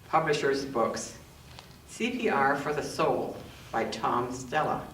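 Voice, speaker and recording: A woman reading scripture and scripted commentary in church, with measured, deliberate phrasing. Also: low-pitched. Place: indoors in a church, roomy acoustic. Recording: roomy, distant microphone, faint noise.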